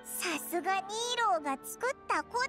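とても甲高い小さな妖精の相棒. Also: female voice, animated, brisk. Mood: impressed, teasing. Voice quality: squeaky, childlike. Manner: blunt, boyish phrasing, exclamatory. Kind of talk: anime video game voice acting. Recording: game music loud behind the voice.

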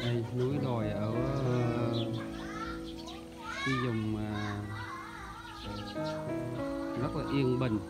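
A man with a southern Vietnamese accent talks as a casual vlogger. He addresses his viewers in a familiar, chatty way, and music plays clearly behind his voice. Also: mid-range voice, fairly even intonation, slow, pauses often. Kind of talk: casual vlog narration.

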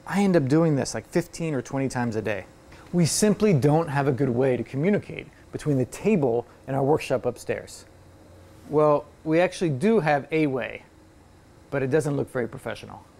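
A man in his forties presenting as a host to camera, explaining in a measured, scripted way, recorded on a lapel mic. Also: mid-range voice, expressive, gravelly, a little mellow. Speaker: host of an electronics build channel. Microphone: lapel microphone.

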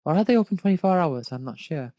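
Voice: mid-range voice, animated, fast talker, no room sound, lo-fi sound. A person reading fan fiction aloud casually, with hesitant, dry critical asides. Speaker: fanfic reader and commentator.

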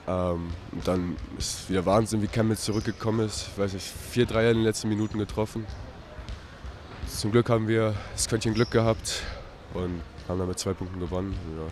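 A young basketball player giving calm, brief answers in a post-game interview, recorded on a handheld mic in an arena. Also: male voice, lowish voice, brisk, a little hiss or hum. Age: young adult. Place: indoor arena.

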